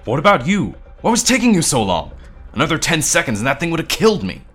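A man voicing a frustrated, hot-headed monster fighter in a fantasy story, urgent and shouting, over music. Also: a little high, wildly swooping pitch, fast, breathy edge, voice pushed up, exaggerated voice. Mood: angry, indignant.

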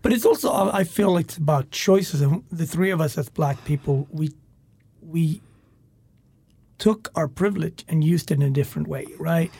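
A man from Sweden co-hosting an interview, asking questions with animated enthusiasm. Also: a little high, normal speed, gravelly, breathy edge, no room sound. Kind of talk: conversational interview.

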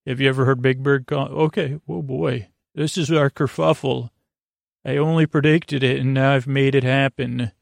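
Male sleep-podcast host: creaky mid-range voice, dry sound, halting and goofy rambling with frequent stammers. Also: medium pitch, steady tone, no echo. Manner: halting, meandering, with stammers and self-corrections; goofy, whimsical. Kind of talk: rambling bedtime monologue to help listeners sleep.

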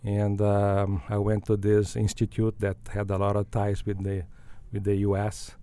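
A man's voice: on the low side, monotone, unhurried, mellow. An older man from Brazil, a guest answering interview questions thoughtfully, with frequent fillers and restarts. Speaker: guest, business school dean.

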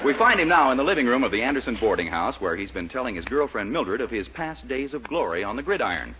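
A man's voice: mid-range voice, sing-song, fast talker, a crowd in the background, background noise. A man announcing and reading ads in a punchy 1940s radio showman style. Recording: dull, lo-fi 1940s radio recording.

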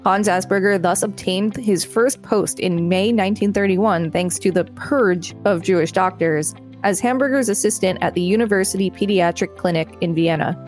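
A woman co-host reads an article aloud in a steady, even manner at a middle pitch. Playful quips slip in between passages. Faint music plays behind her. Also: moderate pace, hardly any pauses. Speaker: podcast co-host reading aloud.

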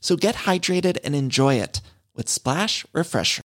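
A man reads a drink ad with playful, gushing enthusiasm. His slightly high voice swoops all over the place. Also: expressive, measured pace. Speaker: ad reader, podcast host. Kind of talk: upbeat ad read.

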